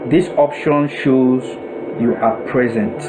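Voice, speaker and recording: A man narrating a step-by-step software tutorial in a deliberate, instructional way, repeating and rephrasing himself as he goes. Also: mid-range voice, steady tone, background noise.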